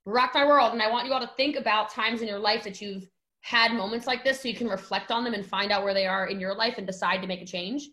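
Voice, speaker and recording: Animated bright-voiced woman telling a story. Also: mid-range voice, fast talker. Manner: animated, acts out quotes, many fillers. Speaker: guest. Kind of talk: casual storytelling chat.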